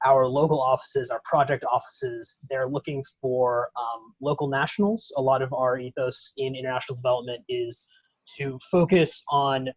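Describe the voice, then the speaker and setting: Husky man on a dull video call. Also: mid-range voice, normal speed, no echo. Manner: relaxed, hedging with fillers. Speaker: guest speaker from a development firm. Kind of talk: casual Q&A answer.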